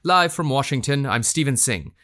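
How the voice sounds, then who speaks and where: An actor playing a composed radio news anchor moderating a panel in a formal, measured manner. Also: male voice, mid-range voice, lively intonation, sharp tone.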